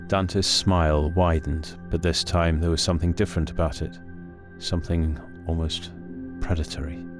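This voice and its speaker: Very deep-voiced man narrating horror. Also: flat delivery, moderate pace. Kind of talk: scripted dramatic narration. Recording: loud music under the voice. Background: music.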